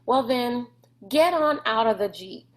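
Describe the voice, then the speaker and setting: A woman voicing a gruff, boastful, bullying man in a storybook, with a folksy, mocking swagger. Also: fairly high pitch, lively intonation, measured pace, pitched above the performer's own voice.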